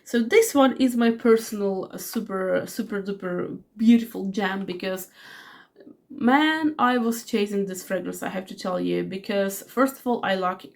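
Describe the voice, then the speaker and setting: A woman's voice: mid-range voice, creaky. Chatty woman in her forties with a cold. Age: 40s. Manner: chatty, rambling with many fillers and restarts. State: has a cold. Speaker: fragrance reviewer. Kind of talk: casual product review vlog.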